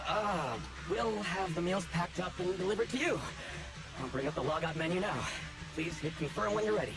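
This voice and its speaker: A man's voice: sits high, normal speed, gravelly, music in the background. A man voicing a courteous, anxious young male technician in a video game, with a deferential, formal manner. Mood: awkward, polite.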